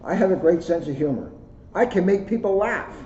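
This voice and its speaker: Mellow man reading aloud in an echoey room. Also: high-pitched, animated, a little fast. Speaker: police officer reading a children's book aloud. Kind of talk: children's book read-aloud with casual asides. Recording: roomy echo, muffled audio, faint noise.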